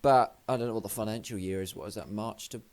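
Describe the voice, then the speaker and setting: A young man, slightly hoarse, rambling and swearing casually as he previews a wrestling show. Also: lowish voice, quick pace. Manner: rambling, sweary, self-deprecating. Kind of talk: casual vlog commentary.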